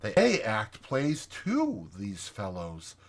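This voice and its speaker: A man voicing a friendly, excitable mole in a storybook, chatty and eager, coaxing his companions along. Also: lowish voice, very slow, exaggerated voice. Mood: chatty, admiring. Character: friendly, excitable mole, proudly bragging about mice.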